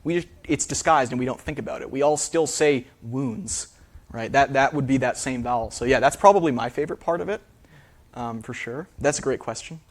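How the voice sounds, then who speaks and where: A man's voice: medium pitch, fairly quick. A man who is a linguist, answering audience questions after a talk in a theater, casual with many fillers. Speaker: speaker, linguist. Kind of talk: lecture Q&A answers.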